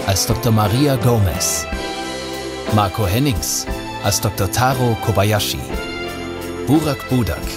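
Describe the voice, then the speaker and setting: Slow male announcer over loud music. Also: fairly low pitch. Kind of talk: scripted credits narration.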